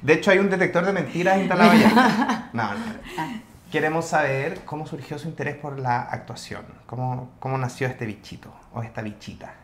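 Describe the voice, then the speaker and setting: A man's voice: on the high side, animated, normal speed, a crowd in the background. Chilean male host in an echoey room. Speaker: podcast host. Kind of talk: conversational interviewing. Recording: echoey room, voices in background.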